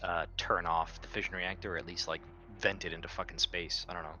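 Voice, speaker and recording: A man's voice: low-pitched, little pitch movement, fairly quick, bright, forceful tone, soft background music. A blunt, sweary man playing a tabletop roleplaying game in casual in-character banter.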